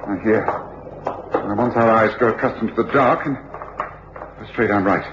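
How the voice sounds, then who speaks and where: An English actor playing a commanding, coolly reasoning detective with clipped, authoritative delivery in an old British radio drama. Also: male voice, on the low side, a little slow, close to an everyday voice. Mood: urgent, commanding. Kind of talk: 1950s-60s British radio drama acting. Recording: echoey, dull, noisy old radio recording.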